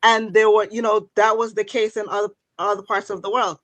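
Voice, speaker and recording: A woman answers as a panelist, her voice captured very dry with no room sound. She speaks reflectively and hopefully, pausing on fillers as she works through her points. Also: medium pitch, little pitch movement, fairly bright tone, no room sound, rough recording. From Guyana.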